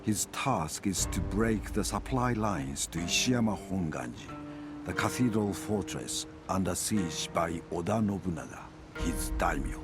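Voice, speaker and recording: A man narrating samurai wars for a video game cutscene as a grave chronicler, in measured, dramatic storytelling. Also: low voice. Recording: music under the narration. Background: cinematic music.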